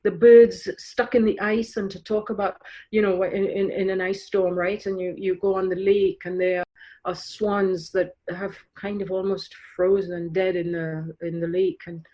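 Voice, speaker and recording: A woman's voice: medium pitch, fairly even intonation, measured pace, mellow, low-quality recording. Reflective woman from Trinidad. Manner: reflective, with frequent fillers and restarts. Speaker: guest author. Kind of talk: conversational podcast interview.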